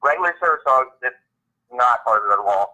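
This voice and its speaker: Very bright, sharp-voiced man, informative. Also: medium pitch, lively intonation, brisk, poor audio. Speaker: guest on a live Q&A. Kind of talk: informative casual talk.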